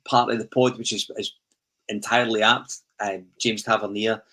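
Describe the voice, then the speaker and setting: A man's voice: fairly low pitch, level tone, normal speed, sharp tone. A Scottish man hosting a football podcast, steering the talk and reading out listener comments with frequent "um"s. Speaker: podcast host. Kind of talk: casual football chat.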